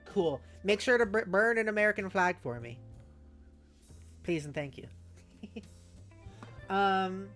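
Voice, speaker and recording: A woman streamer chatting casually with viewers, giggly and halting as she thinks aloud and reads out messages. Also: medium pitch, a bit bright. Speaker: streamer, host. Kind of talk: casual livestream chat, reading out viewer messages. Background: music.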